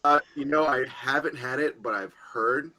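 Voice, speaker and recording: A man's voice: medium pitch, moderate pace, pushed and bright, a little hiss or hum, low-quality recording. A man joining a podcast as a guest over an internet call, talking in an easygoing way with some laughter. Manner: easygoing, laughs, a bit sleepy. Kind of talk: casual chat on a call. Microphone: remote call over the internet.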